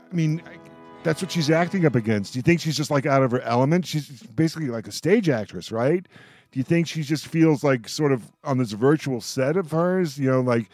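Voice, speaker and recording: A man's voice: mid-range voice, a little mellow, dry recording, studio quality. A man hosting a casual roundtable, repeating and restarting phrases as he puts questions to the panel. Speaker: radio host moderating a panel.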